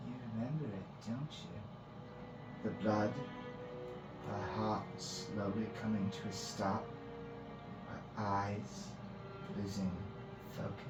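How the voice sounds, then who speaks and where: A man voicing a maniacal, patronizing female fae priestess in a fantasy story, savoring and teasing with drawn-out words. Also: on the low side, fairly even intonation, voice pushed up. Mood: gloating, savoring. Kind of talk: dramatic fantasy storybook reading. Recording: roomy echo, music behind.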